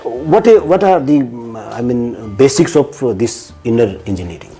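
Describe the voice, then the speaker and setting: A male interviewer who hosts the channel and asks halting questions full of fillers and restarts. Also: mid-range voice, expressive, dark tone, faint music behind.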